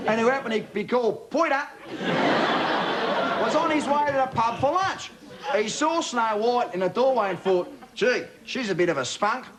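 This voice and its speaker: A man playing a cheeky Australian bogan bloke with a broad accent, telling a comic bedtime story in a laid-back, slangy, matey way. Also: very high voice. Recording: TV studio with loud audience laughter, noisy.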